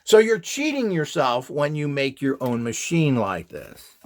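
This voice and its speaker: A man's voice: a little high, very animated, unhurried. A man giving an informal explainer talk as an instructor and seller, lecturing in an opinionated, emphatic way. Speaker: instructor and seller of esoteric devices and courses.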